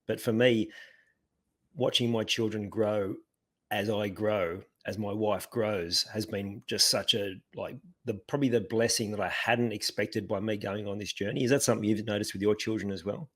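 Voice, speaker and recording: A man's voice: lowish voice, steady tone, normal speed. A man hosting a podcast who asks questions and often cuts in with short words of agreement. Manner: affirming, frequent agreement. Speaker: podcast host. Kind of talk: casual interview.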